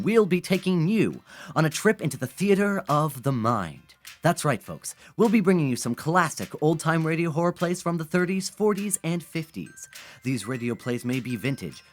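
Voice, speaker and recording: An actor playing a chatty radio DJ introducing a vintage horror play with showman patter. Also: fairly high pitch. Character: friendly small-station radio DJ, playful.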